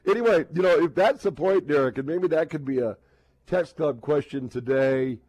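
A man's voice: fairly high pitch, moderate pace, darkish tone, rough recording. A man with an American accent hosting casual sports radio banter, rambling chattily and acting out little quoted exchanges.

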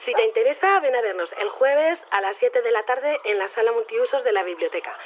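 A woman's voice: a little high, expressive, a little fast, close to an everyday voice. A woman playing a polite, matter-of-fact geneticist leaving a phone announcement in a fiction podcast. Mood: polite, businesslike. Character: matter-of-fact female geneticist, casually recruiting for sacrifice. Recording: tinny, noisy phone message.

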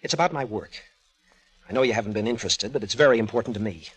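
An actor playing a frustrated, earnest painter, husky and urgent, in a 1950s radio drama. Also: male voice, lowish voice, fast talker, poor audio. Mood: earnest, strained. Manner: intense, urgent. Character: frustrated, earnest, stubborn male struggling painter.

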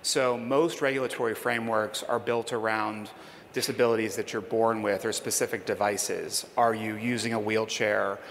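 A man's voice: on the low side, little pitch movement, moderate pace, fairly bright tone. A man giving a conference presentation in an emphatic, persuasive way, then taking audience questions. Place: conference hall. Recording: reverberant hall, faint background noise.

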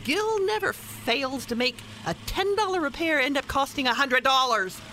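A woman's voice: high-pitched, lively intonation, normal speed, pushed and bright, slight background noise. A woman playing a bossy, teasing older grandmother in a radio play, dryly exasperated with her husband and scolding in a no-nonsense way. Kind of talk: small-town radio play acting.

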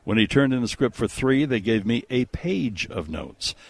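A man's voice: mid-range voice, big pitch swings, moderate pace, vocal fry. A man hosting a radio movie show with casual, jokey banter and emphatic, conversational delivery. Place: radio studio.

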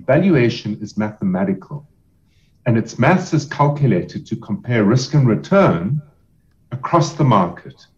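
Man, lowish voice, steady expert explainer, slightly dull lo-fi sound. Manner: measured, explanatory, emphatic repetition. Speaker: business sale advisor. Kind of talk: podcast interview answers.

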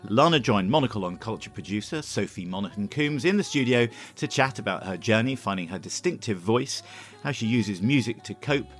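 A man's voice: fairly low pitch, a bit bright. A man reading scripted links as the presenter of a radio arts show.

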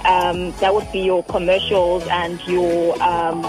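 A young South African woman in her twenties, answering interview questions at a measured pace with many um fillers. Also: on the low side, flat delivery, music in the background, low-quality recording. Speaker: guest. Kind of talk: phone interview. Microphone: phone line.

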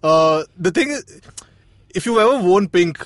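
A man's voice: high-pitched, animated, a little slow, no room sound, studio quality. A man, a comedian guest chatting casually and poking fun at himself. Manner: laid-back, self-mocking. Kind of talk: casual chat.

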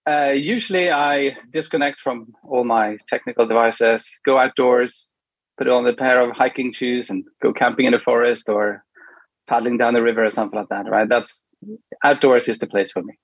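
A middle-aged Swedish man with a somewhat husky voice. He talks as a guest in an easygoing, halting way, often stopping to add a quick check-in. The sound is dry, with no room echo. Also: medium pitch, muffled recording. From Sweden. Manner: relaxed, hesitant with many ums and tag questions. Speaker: guest, product manager. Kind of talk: casual interview chat.

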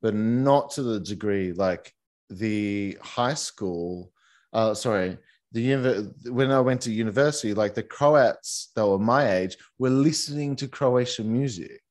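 A man with a lowish voice talks through his past in a loose, rambling way. He circles back on himself, filling gaps with "like" and "you know". It is a relaxed interview conversation. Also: a little slow. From Australia. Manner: rambling, many fillers and restarts. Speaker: guest, massage teacher for couples.

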